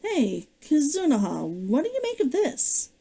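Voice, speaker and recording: A woman's voice: high-pitched, unhurried, creaky, full character voice. A woman reading as a practical male traveler. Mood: earnest. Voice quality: her own lively voice.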